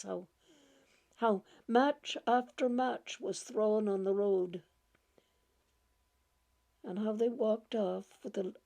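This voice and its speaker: A woman reading a short story aloud in a gentle, halting way, repeating words and laughing softly as she goes. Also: medium pitch, gravelly. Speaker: audiobook reader.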